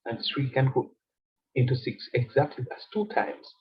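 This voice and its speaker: A man's voice: medium pitch, steady tone, moderate pace, dull audio, a crowd in the background. A man teaching a math lecture, nasal and stuffed up from a cold. He repeats his points and keeps checking that the class follows him. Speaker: university lecturer.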